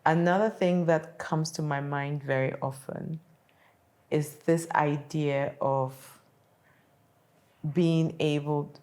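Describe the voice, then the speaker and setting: A woman from Kenya hosting a reflective interview, phrasing her thoughts in a deliberate, searching way and often checking in with "right?". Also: low voice, steady tone, a little mellow. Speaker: podcast host.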